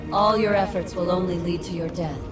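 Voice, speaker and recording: A woman plays a captive dragon queen in a fantasy game. She sounds low, proud and worn down, with bitter disdain for those around her. Loud music plays behind. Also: steady tone, a little fast, natural voice. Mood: weary, scornful. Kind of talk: fantasy game cutscene acting.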